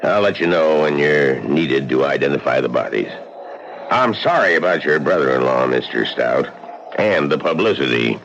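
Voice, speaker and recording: A gravel-voiced small-town sheriff. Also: male voice, a bass voice, expressive, moderate pace, fairly bright tone, slight background noise, exaggerated voice. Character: gruff middle-aged male small-town sheriff. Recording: dull vintage radio recording.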